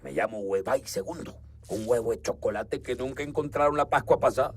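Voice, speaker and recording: A man voicing a breezy, cheeky Cuban chocolate egg in an animated film dub, with a Cuban accent. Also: medium pitch, a little fast, close to an everyday voice. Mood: casual, unbothered.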